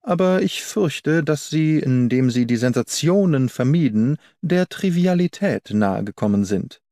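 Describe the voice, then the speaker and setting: A man's voice: a little high, lively intonation, a little slow, mellow, no echo, studio quality. A man voicing a haughty, didactic detective in an audiobook, pompous and precise in his lecturing. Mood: disdainful. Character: haughty, didactic male detective, proud of cold logic. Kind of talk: German audiobook character reading.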